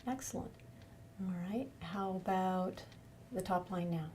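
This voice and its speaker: A woman's voice: medium pitch, unhurried, mellow, a little hiss or hum. A woman clinician giving an instructional demonstration of an eye exam, encouraging the patient as she explains each step.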